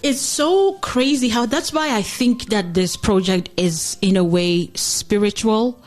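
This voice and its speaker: A woman's voice: mid-range voice, unhurried. A middle-aged woman from South Africa, a jazz singer chatting as an interview guest, reflective and full of fillers and restarts. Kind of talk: casual interview chat.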